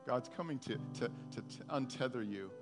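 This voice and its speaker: A pastor leading a prophetic prayer time, speaking softly and haltingly, repeating his words. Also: medium pitch. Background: guitar music.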